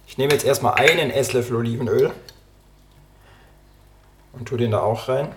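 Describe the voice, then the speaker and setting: A man's voice: mid-range voice, moderate pace, background noise. Man with a cold, cooking in his kitchen. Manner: relaxed, talks while chopping and cooking. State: slightly hoarse from a cold. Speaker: podcast host cooking a recipe. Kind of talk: casual cooking podcast chat. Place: home kitchen. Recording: kitchen clatter and clicks behind. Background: dishes, pots and pans clattering.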